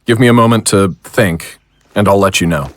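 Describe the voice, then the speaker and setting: A man playing a calm, learned occult investigator in an audio drama, explaining things in measured, wordy sentences. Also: fairly low pitch, natural voice. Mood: calm, explanatory.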